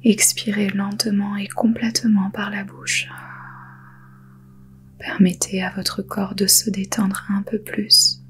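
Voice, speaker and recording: A woman guiding a yoga nidra meditation in a soft, breathy half-whisper, calm and soothing, with unhurried pauses. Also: medium pitch, a little hiss or hum. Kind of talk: guided meditation.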